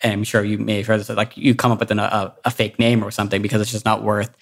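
A man being interviewed casually, talking in a halting, reflective way. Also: lowish voice, flat delivery, vocal fry.

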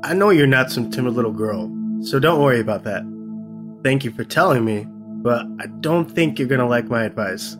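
A steady, protective boyfriend played by a man in an audio story, with a mid-pitched male voice. He speaks earnestly and reassuringly over faint music. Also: fairly quick, close to an everyday voice. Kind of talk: dramatized story dialogue.

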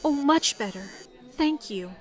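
Female actor as timid young 1930s operator in radio drama; high voice, slow, dazed delivery, meek and polite. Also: lively intonation. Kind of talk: radio play acting, 1930s period drama.

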